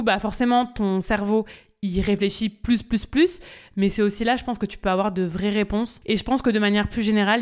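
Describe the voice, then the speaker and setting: A young woman speaks in a smooth, clear voice at a middle pitch. She gives spontaneous, direct answers on a casual solo podcast and fills her talk with hesitations. She addresses listeners like a business coach. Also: darkish tone. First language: French. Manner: chatty, direct, many fillers, talks straight to listeners. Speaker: podcast host, social media business coach.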